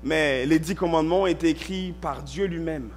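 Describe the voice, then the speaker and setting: A male pastor preaching a sermon in a church, stressing key words and drawing them out, at times rising to a shout. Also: on the high side, normal speed, a little hiss or hum.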